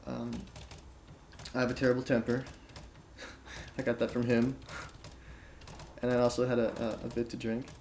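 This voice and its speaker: An actor playing a hot-tempered, defensive son answering in a naturalistic police interrogation. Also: male voice, medium pitch, level tone, moderate pace, pauses often, darkish tone, lightly acted. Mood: regretful. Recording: noisy room with typing.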